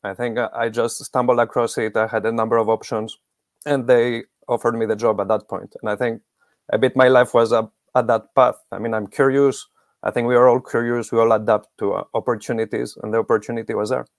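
A man's voice: medium pitch, level tone, fairly quick, dry recording, studio quality. A middle-aged man giving explanatory interview answers as a guest expert on a video call. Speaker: guest expert, academic researcher.

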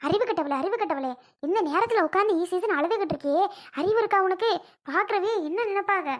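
A woman's voice: high-pitched, a bit bright. A woman with a southern Tamil Nadu accent playing a stern, anxious bride's mother who scolds insistently in a village family drama.

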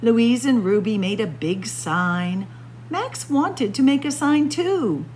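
A woman reading a picture book aloud to children as a storyteller, expressive and deliberate. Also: fairly high pitch, slight background noise. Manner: expressive, deliberate, addressing young listeners as friends. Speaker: librarian storyteller. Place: indoor library room with bookshelves.

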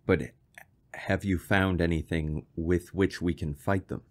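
An actor playing an earnest, haunted man who narrates a radio horror drama in a measured, ominous way. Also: low-pitched, fairly even intonation, a little mellow. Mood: anxious, questioning.

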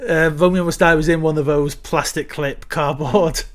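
A man, co-hosting a casual film chat over a video call with quick asides. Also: fairly high pitch, steady tone. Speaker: podcast co-host. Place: home room on a video call.

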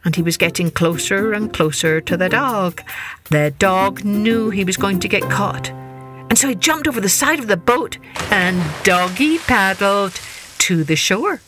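A woman's voice: mid-range voice, animated, a little slow, over music. A woman telling a children's story with dramatic, emphatic expression, as a podcast host.

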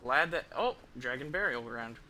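A young man giving casual, halting gameplay commentary, muttering to himself as he plays. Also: mid-range voice, animated, pushed and bright. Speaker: game streamer. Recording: clear background noise, game ambience.

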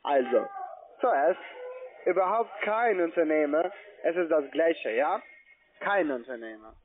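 Male phone agent, non-native German with a foreign accent, high pitch, insistent and repetitive, muffled phone line. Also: expressive, a little hiss or hum. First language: not German. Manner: insistent, halting phrasing with repetitions. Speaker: call-centre phone agent. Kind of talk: argumentative sales phone call.

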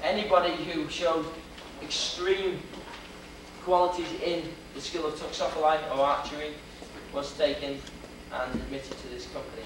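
A man's voice: fairly high pitch, steady tone, normal speed. A young man from Wales with a Welsh accent, giving a theatrical, joking history lecture to an audience. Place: lecture hall with projection screen. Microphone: far camera. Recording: echoey hall, distant camera, noisy old video.

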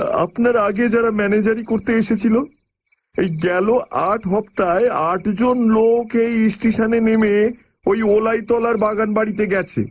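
A man playing an ominous, gossiping village station master in an audio drama, speaking hesitantly with many pauses and murmurs. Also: high voice, slight background noise. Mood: uneasy, probing. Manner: halting, hesitant, full of pauses and murmured interjections. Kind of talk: Bengali audio drama acting.

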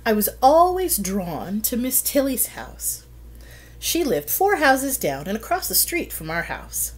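A middle-aged woman reading a children's picture book aloud, with expressive, deliberate storytelling and drawn-out phrases. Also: a little high. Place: small plain room indoors.

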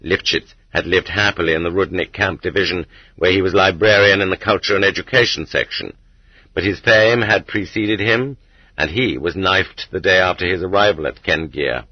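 Low, husky male audiobook narrator. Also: moderate pace, dry recording. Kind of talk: scripted narration of a nonfiction book. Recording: dull lo-fi cassette transfer, dry.

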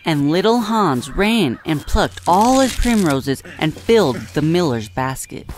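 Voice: medium pitch, sing-song, a little slow, slight background noise. A narrator reading a scripted storybook tale in an audio drama.

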